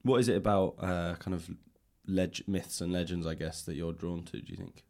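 Low-voiced man asking questions. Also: steady tone, moderate pace, darkish tone. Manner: tentative, rambling phrasing with hedges. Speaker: podcast host, interviewer. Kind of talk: casual, exploratory interview questions. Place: indoors, upper floor of a public library.

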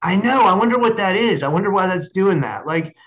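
A man's voice: high-pitched, expressive, fairly quick, fairly bright tone. A man hosting a podcast over a video call, chatting casually and often repeating his words as he goes. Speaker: podcast host. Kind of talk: casual interview chat. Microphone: remote video call. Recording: dull lo-fi call audio.

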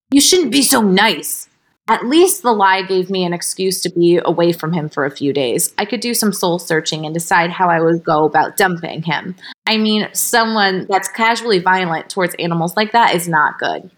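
A woman reading a story aloud as a podcast host, informal and expressive, ready to step out of the narration for asides. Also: lowish voice. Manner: expressive, breaks character, giggly slips and asides.

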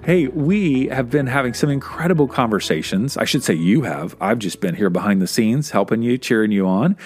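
A man's voice: medium pitch, lively intonation, moderate pace, a little mellow, breathy edge, faint music behind. A man producing and hosting a podcast interview, asking questions in an upbeat, encouraging way.

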